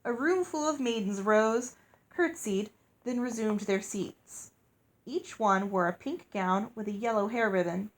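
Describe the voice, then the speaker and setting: A woman's voice: medium pitch, measured pace. A woman reading a story aloud as narrator. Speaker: audiobook reader.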